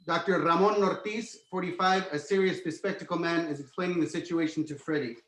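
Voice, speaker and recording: A man's voice: on the high side, fairly quick, fairly bright tone. A man narrating a screenplay's stage directions as scripted reading, recorded in an echoey room.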